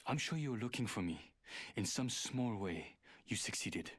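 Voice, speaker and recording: Cold, low-voiced hacker fighter. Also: male voice, lively intonation, a little slow, a bit bright. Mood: cold, condescending. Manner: terse, superior, serious. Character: guarded, arrogant male hacker fighter, coldly menacing. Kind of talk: video game character voice lines.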